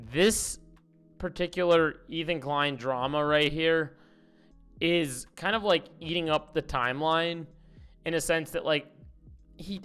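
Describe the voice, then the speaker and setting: A man's voice: a little high, a bit bright, smooth. Young man ranting bluntly on stream. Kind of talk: casual stream commentary.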